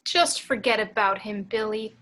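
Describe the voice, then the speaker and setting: A woman playing a stern female stage ventriloquist, commanding and theatrical with quiet menace, in a table read. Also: mid-range voice, moderate pace, close to an everyday voice. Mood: controlled, needling. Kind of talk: amateur script table read.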